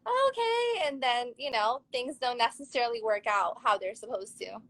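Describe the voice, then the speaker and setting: A young woman from Serbia, speaking English, talks in a high, bright register. She is an upbeat, chatty interview guest who laughs easily and tells her story casually. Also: lively intonation, moderate pace. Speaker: guest, model and actress.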